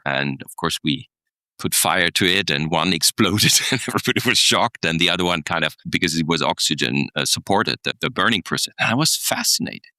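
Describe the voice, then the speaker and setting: A man's voice: very deep voice, gravelly, pushed and bright, no room sound. A middle-aged man, telling stories thoughtfully as a podcast guest, with many restarts and small chuckles. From Germany. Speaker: guest, executive coach. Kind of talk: podcast interview, reflective storytelling.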